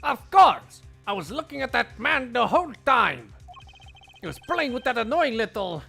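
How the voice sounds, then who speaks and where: Haughty European game witness: bright, swooping delivery, fussy, impatient, easily irritated. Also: male voice, very high-pitched, sing-song, vocal fry, faint music behind, pitched above the performer's own voice, exaggerated voice. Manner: clipped, fussy, pompous, halting foreign phrasing.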